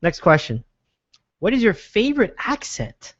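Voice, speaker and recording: A man hosting a show, reacting warmly and upbeat, often halting and restarting his sentences. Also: high-pitched, huge pitch swings, dry recording. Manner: upbeat, affirming, halting with fillers. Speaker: host. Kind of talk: casual chat. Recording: dull, dry lo-fi sound.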